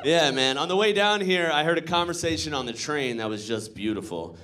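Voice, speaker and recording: A man from New York City telling an animated stand-up story on a club stage into a handheld mic. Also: fairly high pitch, a little fast, over music. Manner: animated, voicing quoted people. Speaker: stand-up comedian. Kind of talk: stand-up story with voiced characters.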